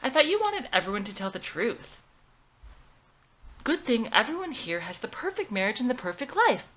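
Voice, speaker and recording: A woman's voice: on the high side, sing-song, moderate pace, fairly bright tone, pitched above the performer's own voice. A woman voicing an outspoken, stubborn young woman with a blunt, exasperated manner in a drama script. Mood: scornful.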